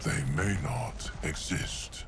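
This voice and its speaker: An actor playing a calm, solemn guardian of a magical hourglass in a video game cutscene, speaking formally and measuredly. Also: male voice, slow pace, slight background noise. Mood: calm, loyal. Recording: loud music behind the voice. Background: music.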